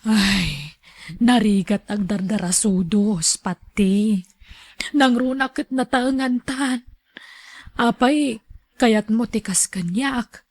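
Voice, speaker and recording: A woman's voice: a little high, steady tone, slow, a little mellow, no room sound. A woman playing a shy, demure older woman being courted in a radio drama, hesitant in her answers. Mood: hesitant, reluctant.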